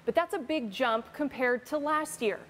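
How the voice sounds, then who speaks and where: A woman's voice: sits high, normal speed, a bit bright, a little hiss or hum. An American woman news reporter narrating a scripted field story.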